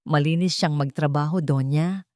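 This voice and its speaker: Casting: obedient scarred henchman woman performer, low, factual, deferential, storybook crime drama. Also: normal speed, darkish tone, dry recording, clean studio sound, natural voice. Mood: reporting, deferential. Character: scar-faced male henchman, clipped and obedient.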